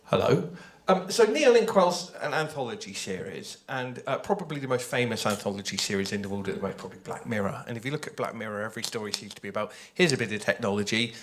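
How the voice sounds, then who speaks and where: A man's voice: lowish voice, expressive, quick pace, hardly any pauses, slight background noise. A man giving an informal panel presentation, conversational and hesitant, often correcting himself. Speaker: panelist, audio drama creator. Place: festival panel before an audience.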